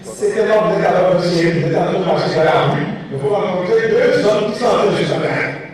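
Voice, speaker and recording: A man at a forum answering an interviewer spontaneously, halting and repeating words. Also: high-pitched, crowd noise. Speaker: forum participant. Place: conference venue. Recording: distant echoey hall.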